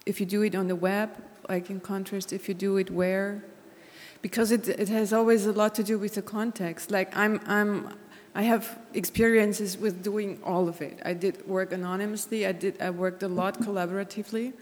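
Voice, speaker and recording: A woman's voice: mid-range voice, flat delivery, normal speed, a little mellow. A woman artist speaking on a panel, searching for words with many restarts. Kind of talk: panel discussion. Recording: long hall reverb.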